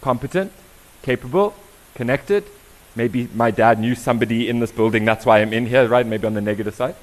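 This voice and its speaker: A young South African man giving an interactive conference talk, firing questions at the audience in a lively way. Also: mid-range voice, fairly quick, low-quality recording. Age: young adult. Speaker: diversity workshop presenter.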